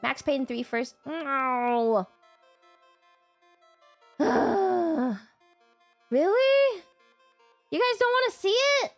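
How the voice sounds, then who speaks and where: A woman's voice: high voice, sing-song, very slow, long pauses, no echo, soft background music. A woman livestreamer with a cold, chatting casually and rambling, breaking into whiny, playful outbursts, on a desk mic at home. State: congested with a cold. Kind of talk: casual chat stream.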